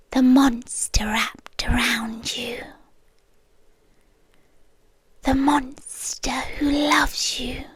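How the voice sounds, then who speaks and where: A British woman playing a doting, possessive serpent-like monster girlfriend in a breathy, soothing and controlling ASMR whisper. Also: high voice, no echo. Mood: hushed, possessive. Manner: slow, hushed, soothing and controlling. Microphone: close ASMR microphone.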